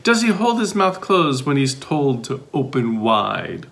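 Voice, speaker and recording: A man's voice: mid-range voice, very animated, measured pace, creaky, a bit bright, slight background noise. A middle-aged man reading a children's picture book aloud expressively, with sing-song questions and playful asides. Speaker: volunteer storybook reader.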